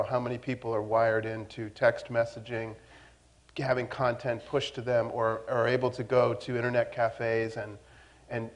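A middle-aged man answering a question on a panel through a lapel mic, in a conversational and assured way. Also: mid-range voice. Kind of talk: panel discussion.

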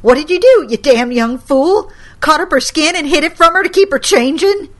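A stern old grandmother with a sharp, scolding tongue, her voice climbing when she is angry, voiced by a woman reading aloud. She is devout and fierce. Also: fairly high pitch, animated, very slow, breathy edge, full character voice. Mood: grim fury.

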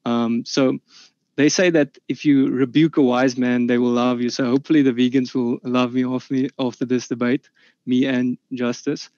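A man whose first language is Afrikaans speaks in a hesitant debate statement, full of pauses and restarts. His mid-pitched voice comes through a video call. The sound is dry and a bit dull. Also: little pitch movement, a little mellow, no room sound. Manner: halting, many fillers and restarts. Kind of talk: online debate opening statement.

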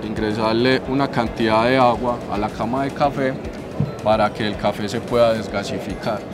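A man's voice: lowish voice, steady tone, normal speed. A Colombian man in his thirties giving a casual, explanatory demonstration as a barista. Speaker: champion barista demonstrating coffee brewing. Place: indoor trade fair booth. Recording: music behind the voice. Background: music and fair noise.

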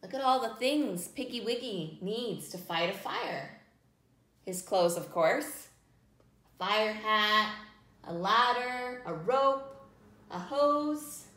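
A woman in her thirties hosting a children's storytime, reading aloud in simple, deliberate phrases and putting questions to young listeners. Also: fairly high pitch, lively intonation, pushed and bright. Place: small room indoors, near a plain wall. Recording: roomy indoor echo.

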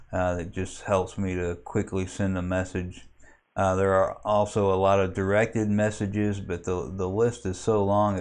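Low, smooth-voiced man explaining software, evenly. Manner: halting, plainspoken step-by-step explaining. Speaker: amateur radio hobbyist giving a software tutorial. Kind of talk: unscripted screen walkthrough.

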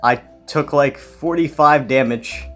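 A man's voice: fairly high pitch, measured pace, a bit bright. Young man, texas accent, casual gaming chat, jumpy and teasing, faint music behind. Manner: jumpy, panicky yelps, teasing. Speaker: gamer recording with a friend. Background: faint game music.

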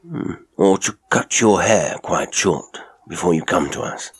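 A man voicing a fussy, wheedling middle-aged gentleman employer in an audiobook, with a husky voice and drawn-out, repetitive phrasing. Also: low-pitched, expressive, exaggerated voice. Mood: genial wheedling. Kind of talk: audiobook character acting.

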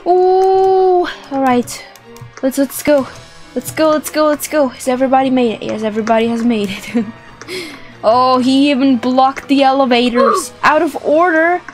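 A young woman giving excited, playful gameplay commentary, animated and exclamatory, often repeating words for effect. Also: sits high, moderate pace. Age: young adult. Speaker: gaming video host. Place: small indoor room set up for streaming. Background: background music.

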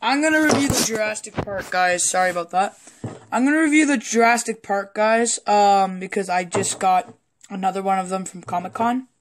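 A woman who is a little sick and hoarse, rambling casually and hesitantly through a toy collection review with some swearing, recorded on a handheld phone at a table indoors. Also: medium pitch, normal speed, background noise. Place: indoors at a wooden table in a home room.

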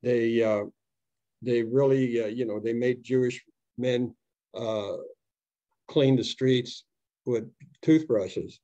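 An older man from Austria telling his story to students in an unscripted, halting way, with many fillers and restarts, on a video call. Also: medium pitch, dark tone, dry recording. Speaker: Holocaust survivor speaking to students. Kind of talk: unscripted testimony talk. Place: home room on a video call. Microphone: video call webcam. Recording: dull, slightly lo-fi video call audio.

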